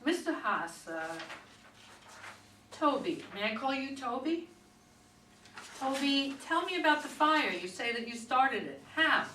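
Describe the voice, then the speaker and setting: A woman's voice: high voice, big pitch swings, a little slow, pauses often, sharp tone, full character voice. A woman in a stage play reading, playing a persistent, no-nonsense police detective with firm questioning. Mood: probing. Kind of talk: stage play read aloud by readers' theater. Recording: echoey room, distant mic, faint noise.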